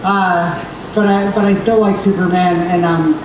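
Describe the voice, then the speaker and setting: A man speaking as a panel guest, relaxed and self-deprecating, with a nerdy enthusiasm. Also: high-pitched, moderate pace. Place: large convention hall. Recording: echoey hall miked from far off with noise.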